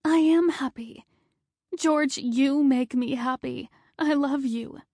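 A woman doing a frantic, high-pitched wife. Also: animated, slow, no room sound, studio quality. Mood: pleading. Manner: high, breathless, urgent pleading. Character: frantic middle-aged wife, anxious and pleading.

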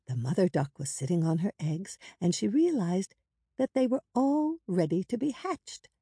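A woman plays a gentle, protective big sister of ten in a storybook. The delivery is soft and patient, with a mellow, slightly husky tone meant to soothe. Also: medium pitch, sing-song, moderate pace, dry recording, studio quality, pitched above the performer's own voice. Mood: gentle storytelling.

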